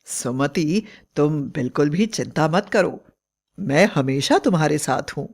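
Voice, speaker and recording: A woman takes the part of a trusting young husband in a bedtime story. He speaks slowly and with earnest emphasis, devoted to his wife and quick to worry. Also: deep voice, expressive, a little mellow, husky, pitched below the performer's own voice, full character voice. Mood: tender, tired. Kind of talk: dramatized storybook acting.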